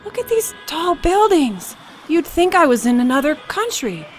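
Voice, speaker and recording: A woman voicing a gentle, nostalgic old Japanese grandfather, a storybook street storyteller who murmurs hesitantly to himself. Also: high-pitched, big pitch swings, normal speed, slight background noise, pitched above the performer's own voice. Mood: bewildered, grumbling. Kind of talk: storybook character voice for children.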